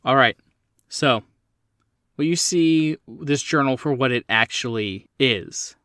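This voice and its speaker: A man's voice: medium pitch, slow pace, bright, forceful tone, far from the mic, a little hiss or hum. A man working as game master, narrating a horror tabletop game in a descriptive, conversational way. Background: background music.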